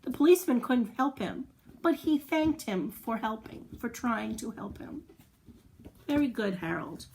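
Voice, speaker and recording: A woman with a fairly high voice hosts storytime for young children. She takes her time, speaking very slowly in simple phrases. She encourages her listeners and puts questions to them. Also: expressive, creaky, darkish tone, slight background noise, rough recording. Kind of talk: read-aloud storytelling and chat to young children.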